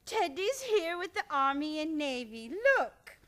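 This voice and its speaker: A genteel old aunt in a radio comedy. Her voice is high and very animated, fond yet calmly matter-of-fact. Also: unhurried, no room sound, full character voice. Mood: sweet, fussing. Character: sweet, genteel, unflappable elderly spinster aunt.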